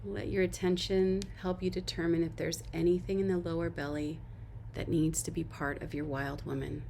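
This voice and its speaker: A woman leading a guided body-scan meditation as an instructor, giving gentle, unhurried instructions and soft invitations. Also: lowish voice, flat delivery, vocal fry, slight background noise.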